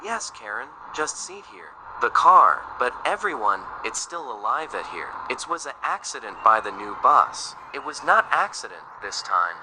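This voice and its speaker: A man's voice: mid-range voice, big pitch swings, moderate pace, few pauses, natural voice. Male travel companion acted by a man, stilted wording, swinging intonation, chirping birds and noise behind. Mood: plain, uneasy. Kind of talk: amateur online scenario dialogue. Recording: noisy track with bird chirps.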